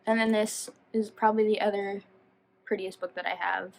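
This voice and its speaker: A woman's voice: mid-range voice, level tone, moderate pace, vocal fry, a little hiss or hum. A woman chatting casually to viewers as a book vlogger, rambling and self-deprecating as she goes off on tangents. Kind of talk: casual chatty video talk.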